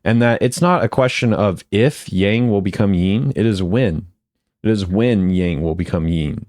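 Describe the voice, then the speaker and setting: A man's voice: fairly low pitch, a little slow, a little mellow, no room sound, studio quality. A man giving casual chatty commentary as a video creator, often repeating phrases for emphasis. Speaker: video creator ranking game voice lines.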